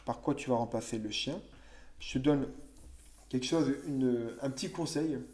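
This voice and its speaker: Relaxed mellow-voiced man teaching grammar. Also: medium pitch, normal speed. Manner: conversational, thinks aloud with fillers and self-corrections. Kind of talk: explaining French grammar to children.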